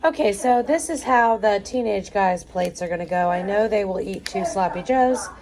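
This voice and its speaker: A hoarse middle-aged woman, a mother vlogging casual commentary while cooking in a hotel room on her handheld phone. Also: medium pitch, normal speed, a little mellow. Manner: chatty, upbeat running commentary. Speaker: vlogger, mother of a large family.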